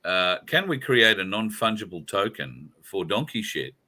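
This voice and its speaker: A man, a guest in a casual chat, joking around with a loud voice. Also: lowish voice, big pitch swings, moderate pace.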